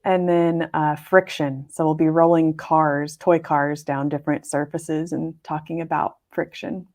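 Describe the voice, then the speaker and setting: A young woman with a fairly low voice chats upbeat and talkative as a podcast co-host. She is a teacher speaking from her classroom, easy and friendly in tone. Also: flat delivery, measured pace, darkish tone. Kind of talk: casual chat.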